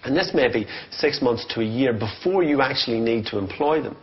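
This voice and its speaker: A man's voice: mid-range voice, animated, a little fast, muffled recording. A man giving informal business coaching as a sales trainer, explaining points emphatically with short, direct instructions.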